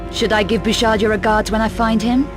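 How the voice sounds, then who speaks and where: A woman's voice: on the high side, fairly even intonation, brisk, over music, lightly acted. A woman playing a tough, self-assured adventurer in a video game, with a terse, dry, unflappable delivery. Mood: dry, businesslike. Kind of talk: video game cutscene acting.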